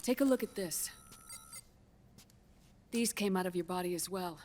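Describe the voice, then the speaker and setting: An actress playing a grave, guarded scientist in a video game, measured and solemn, with a resigned air. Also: female voice, lowish voice, darkish tone, slight background noise, close to an everyday voice. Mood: grave, clinical. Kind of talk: dramatic video game voice acting. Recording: musical score underneath. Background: dramatic game soundtrack music.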